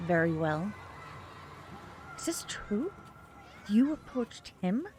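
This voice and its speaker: An actress playing a fiercely protective, suspicious mother in an audio drama, speaking in a stern, sarcastic, deliberate way. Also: mid-range voice, very animated, a little mellow, a little hiss or hum. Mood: wary.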